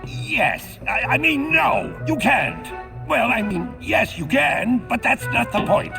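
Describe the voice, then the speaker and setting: A man voicing a flustered, overprotective old father in a video game, blustering and stammering in a croaky voice. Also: extremely high pitch, very animated, takes it slowly, music in the background, heavily acted. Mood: flustered, stern. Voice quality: gravelly, croaky. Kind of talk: animated video game cutscene acting.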